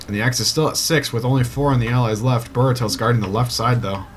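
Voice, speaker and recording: A man giving live play-by-play commentary on an online shooter match, getting excited at kills and stumbling over player names. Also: medium pitch, fast, noisy background. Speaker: esports shoutcaster. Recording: loud game music and gunfire behind.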